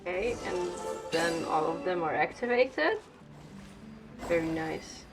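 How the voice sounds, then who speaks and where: A woman's voice: fairly low pitch, animated, slow pace, music in the background. A woman game streamer giving casual, slangy, chatty commentary as she plays. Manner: slangy, informal.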